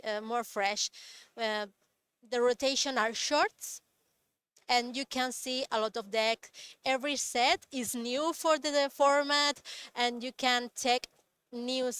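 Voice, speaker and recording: A woman co-hosting a live stream, speaking haltingly and searching for her words. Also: high voice, bright, forceful tone, clean tone, no room sound. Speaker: event co-host. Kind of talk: casual live stream chat.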